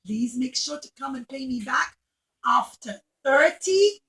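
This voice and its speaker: A woman voicing a wealthy Roman lady lender who is stern yet kind, speaking loudly and emphatically. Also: sits high, lively intonation, unhurried, full character voice. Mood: emphatic. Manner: loud, emphatic, calling out.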